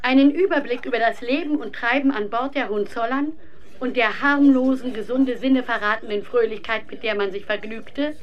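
An actress playing a formal narrator who reads documents from a script in a radio play. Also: female voice, fairly high pitch, moderate pace, natural voice. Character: formal female reader of club statutes. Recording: slightly dull sound, crowd behind.

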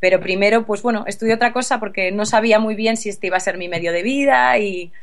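A woman's voice: mid-range voice, fast. A woman in her thirties from Spain, answering interview questions casually with frequent laughs and restarts. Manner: relaxed, laughs often, restarts sentences. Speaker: interview guest, actress.

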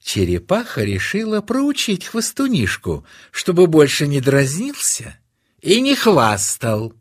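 Playful expressive male storyteller. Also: fairly high pitch, unhurried. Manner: playful, acts out laughter in the telling. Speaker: narrator. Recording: music under the narration.

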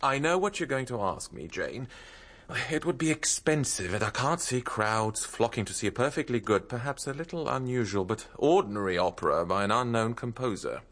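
An actor playing a cautious, businesslike theatre impresario in a radio drama, with a measured, sceptical manner. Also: male voice, medium pitch, expressive, a bit bright.

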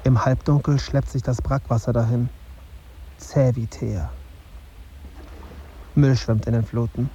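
A man's voice: mid-range voice, fairly even intonation, a little slow, pauses often, warm tone, lightly acted. An actor playing a dazed, gloomy young journalist, narrating his thoughts tersely and broodingly in a radio drama. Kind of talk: radio drama interior monologue. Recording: dull sound, faint ambient noise.

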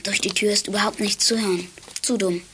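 A woman's voice: mid-range voice, fairly even intonation, a little fast, fairly bright tone, a little hiss or hum, natural voice. A woman playing a daring young child detective in a children's audio drama, speaking like someone on a secret mission. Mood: tense, muttering.

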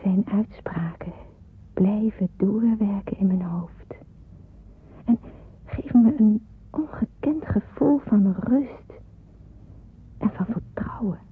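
An actress playing an earnest, devout young woman in wartime hiding in a radio drama, with a husky, breathy voice. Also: mid-range voice, slow pace, long pauses. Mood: devout, solemn. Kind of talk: radio drama acting with narrated monologue. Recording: muffled, phone-like radio play recording.

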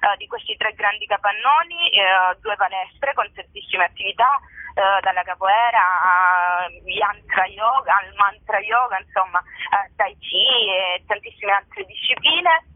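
A woman's voice: medium pitch, lively intonation, a little slow, dry recording. A woman, a theatre event organizer, talking hesitantly with many fillers in a radio phone interview. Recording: tinny phone line.

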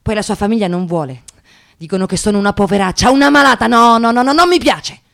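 An actress playing a nervous, tired young unemployed woman in a radio monologue, halting and agitated, repeating her words. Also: mid-range voice, vocal fry, fairly bright tone. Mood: aggrieved. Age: young adult. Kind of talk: radio theatre dramatic monologue.

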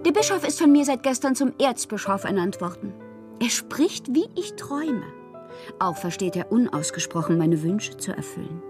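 An actress playing a passionate, capricious bohemian woman in a literary radio drama, theatrical and intimate, with a breathy voice. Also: medium pitch, very animated, normal speed, music in the background, natural voice. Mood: dreamy, enamoured. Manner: theatrical, intimate, often dropping to breathy murmurs. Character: dreamy, passionate, capricious bohemian woman writing love-struck letters.

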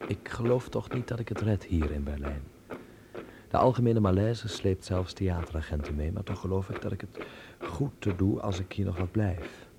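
A young Dutch filmmaker abroad, speaking low and gravelly in a radio play. He is wry, observant and measured, and the old recording is clearly noisy. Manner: reflective, ironic, measured. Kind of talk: radio drama acting and letter narration.

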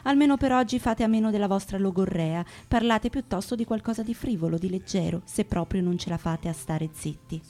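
A woman's voice: medium pitch, brisk, few pauses, dark tone. A woman reading a scripted radio horoscope, handing out wry, bossy advice. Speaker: horoscope reader.

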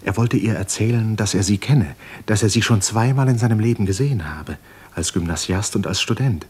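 Male narrator for 1950s literary radio play, low register, serious and measured storytelling. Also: darkish tone, slightly rough.

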